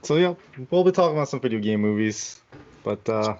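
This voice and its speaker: A man's voice: on the low side, expressive, fairly quick, darkish tone, lo-fi sound, a little hiss or hum. A man chatting casually and informally as a podcast co-host. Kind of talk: casual chat.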